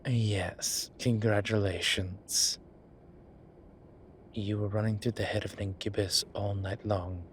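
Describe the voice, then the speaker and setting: A man playing a polite, flirtatious incubus demon in an intimate roleplay audio drama, with hesitant, formal phrasing and a softness that turns breathy at times. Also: fairly low pitch, little pitch movement, slow pace, slight background noise. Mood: flirtatious, teasing. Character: courteous, flirtatious male incubus demon, restrained and apologetic.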